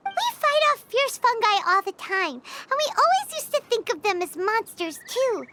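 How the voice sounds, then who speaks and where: A woman voicing a small, chatty, excitable floating fairy companion in a video game, with a squeaky childlike voice. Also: normal speed, slight background noise, heavily acted. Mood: earnest. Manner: excitable, speaks of self in third person. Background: game music.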